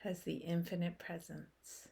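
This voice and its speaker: A woman leading a guided meditation in a soothing, unhurried way, with long pauses between short phrases. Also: on the low side, level tone, creaky, warm tone, slightly rough.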